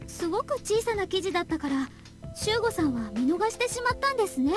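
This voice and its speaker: A shy, innocent young woman from a visual novel. Her voice is extremely high, and she speaks with hesitant, polite softness over prominent music. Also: very animated, racing. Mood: timid, polite. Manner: timid, hesitant, softly polite. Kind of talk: Japanese visual novel voice acting. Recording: music playing behind the voice.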